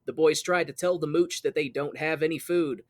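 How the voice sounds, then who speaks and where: A man's voice: on the high side, fairly even intonation, normal speed, no room sound. A man co-hosting a podcast, casually retelling a story in a jokey, conversational way. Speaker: podcast co-host. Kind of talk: casual retelling of a stage musical.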